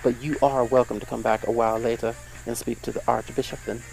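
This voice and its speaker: An actor playing a polite household official in a period audio drama, courteous and formal. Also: male voice, mid-range voice, level tone, fast, creaky, a little mellow, soft background music, natural voice, rough recording.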